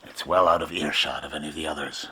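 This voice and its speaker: An actor playing a hard, cold, commanding gang boss in a radio drama, speaking deliberately. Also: male voice, very deep voice, steady tone, sharp tone, breathy edge, heavily acted. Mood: cold, scheming.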